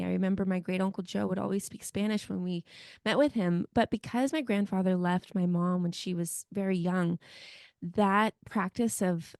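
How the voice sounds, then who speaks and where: A woman's voice: on the low side, dark tone, no echo, studio quality. An American woman co-hosting a casual podcast, telling family stories in a reflective way with easy fillers. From United States. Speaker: podcast co-host. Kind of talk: casual conversation.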